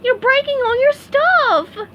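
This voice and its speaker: A woman voicing an eager, excitable male sea sponge in a very high, squeaky voice as she reads a storybook. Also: normal speed, muffled recording, noisy background. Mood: pleading. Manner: stammering and breathless.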